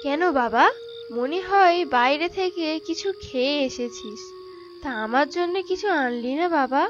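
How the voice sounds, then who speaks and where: High-voiced, nagging cartoon mother. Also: a little slow. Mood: wheedling. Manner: wheedling, complaining. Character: nagging, needy Bengali mother of grown son. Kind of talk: cartoon voice acting. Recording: dull lo-fi track with music behind.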